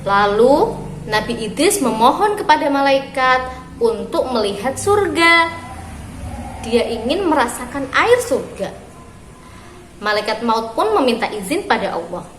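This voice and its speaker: A woman reading a story aloud for young children, phrasing expressively and deliberately with pauses. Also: high voice, big pitch swings, fairly bright tone. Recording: roomy echo with clear background noise.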